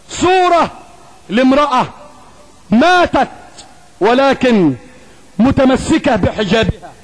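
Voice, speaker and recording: A male preacher with an Egyptian accent delivers an Islamic sermon at a strikingly high pitch. His delivery is emphatic and rhetorical, built on repeated phrases and pointed questions. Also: low-quality recording. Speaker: preacher giving a Friday sermon.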